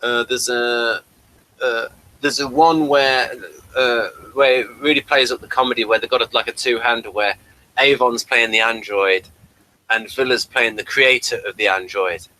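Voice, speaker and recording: A man hosting a casual review chat, talking in a halting, rambling way. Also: medium pitch, fairly even intonation, pushed and bright, rough recording. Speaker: host of a TV review talk.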